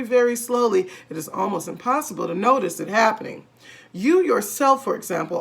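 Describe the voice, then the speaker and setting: A woman reading a children's story aloud in an animated, emphatic way, speaking directly to her listeners. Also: a little high, creaky. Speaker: storybook reader. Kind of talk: expressive children's read-aloud narration.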